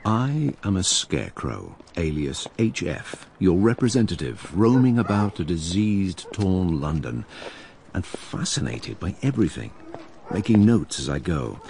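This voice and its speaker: Deep, animated, sardonic plague diarist. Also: male voice, unhurried, vocal fry, heavily acted. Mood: sardonic, confiding. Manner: sardonic, self-aware, theatrical asides. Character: wry, imperious male narrator-diarist of plague-stricken London. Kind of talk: radio drama narration and acting.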